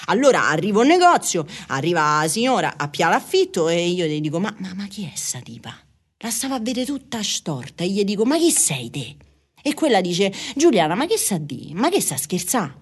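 A woman's voice: on the high side, slightly rough. A middle-aged woman with a Roman Italian accent telling personal stories casually, rambling, repeating phrases and acting out conversations. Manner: chatty, repeats phrases, acts out dialogue.

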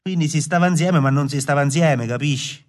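An actor playing a lovelorn, self-absorbed poet in a radio play, rambling colloquially and confidingly with a southern Italian accent. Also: male voice, on the high side, brisk, lightly acted. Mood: wistful, confiding.

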